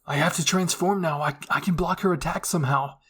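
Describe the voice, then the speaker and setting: A man's voice: on the high side, brisk, fairly bright tone, slightly rough. A man playing a hot-headed, frustrated teenage boy soldier in an anime story. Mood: determined. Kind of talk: anime fan-fiction roleplay.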